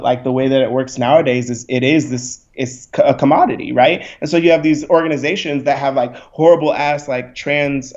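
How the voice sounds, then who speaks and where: A man's voice: medium pitch, a little mellow. A young man speaking as a podcast guest, passionate, blunt and profane, often saying "like" and "right?". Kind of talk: candid podcast conversation. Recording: slightly muffled recording.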